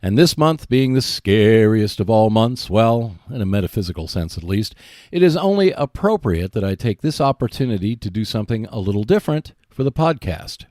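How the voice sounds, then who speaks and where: A man's voice: lowish voice, lively intonation, moderate pace, darkish tone, no room sound, clean studio sound. A man hosting a podcast, reading scripted narration.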